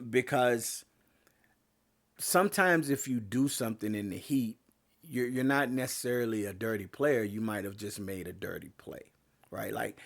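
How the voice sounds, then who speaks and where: A man hosting a sports podcast, talking casually with lots of fillers and restarts, his voice getting a little hoarse. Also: medium pitch. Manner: rambling, many fillers and restarts. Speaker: podcast host.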